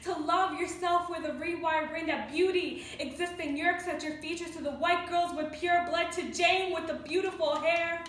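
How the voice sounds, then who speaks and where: Echoey, high-pitched teenage girl reciting slam poetry. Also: steady tone, fairly bright tone. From New York City, United States. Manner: deliberate, emphatic delivery with dramatic pauses. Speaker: student slam poet. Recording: echoey room, distant mic, faint noise.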